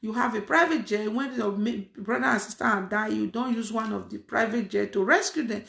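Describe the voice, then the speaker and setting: A woman's voice: mid-range voice, a little fast, vocal fry, a bit bright. Emphatic woman preaching, echoey room. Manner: emphatic, repeats phrases, frequent fillers. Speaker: online prayer host. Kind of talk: casual preaching to an online audience.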